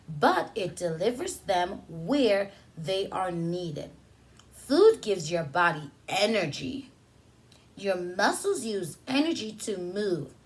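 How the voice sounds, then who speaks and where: A woman preschool teacher reading a picture book aloud to young children, pausing for simple explanations and questions addressed to them. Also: mid-range voice.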